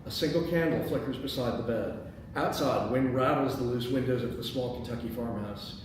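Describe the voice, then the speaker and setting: A man with a medium-pitched voice hosts and narrates a script table read. He is picked up from a distance in a very echoey indoor space with noticeable noise. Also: moderate pace. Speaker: host and stage-direction reader. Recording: very echoey room, distant mic, background noise.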